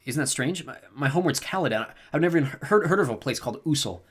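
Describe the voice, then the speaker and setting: A man's voice: on the high side, lively intonation, fast, husky, voice pushed up. A man doing a wary, earnest teenage boy. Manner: earnest, thoughtful, guarded. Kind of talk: audiobook character reading.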